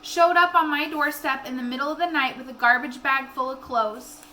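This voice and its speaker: A woman's voice: high voice, normal speed, pushed and bright, a little hiss or hum. A woman voicing a motherly, frank, wounded country woman in a storybook read-aloud, with folksy delivery. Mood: frank, sharp.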